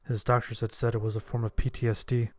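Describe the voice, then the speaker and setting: A man's voice: medium pitch, little pitch movement, fast talker. A man narrating a scripted horror story in the first person.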